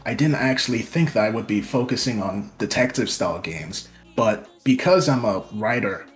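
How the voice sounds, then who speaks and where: A man's voice: on the low side, lively intonation, normal speed, faint music behind. A young man from Nigeria, a VTuber guest, talking casually and a little self-deprecatingly about himself in an interview.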